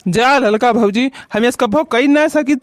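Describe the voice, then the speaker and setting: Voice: medium pitch, measured pace, no echo, studio quality, natural voice. A performer voicing a pleading, whiny village man accused of theft, protesting with an Awadhi accent in a rural comedy cartoon. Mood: indignant denial. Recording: loud music behind the voice.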